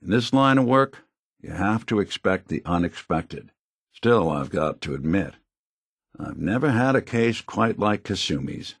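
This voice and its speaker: A man voicing a wry, kindly, world-weary android private detective in a video game, with a gravelly, husky voice and a laconic hardboiled delivery. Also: low-pitched, animated, unhurried, dry recording, clean studio sound, full character voice. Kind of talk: video game character dialogue.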